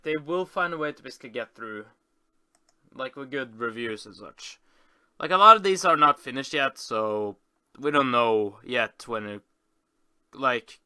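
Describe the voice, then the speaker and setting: A man giving a casual commentary as a video host, rambling and often halting to correct himself. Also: mid-range voice, pushed and bright, smooth, no echo, clean studio sound. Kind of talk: casual screen-tour commentary.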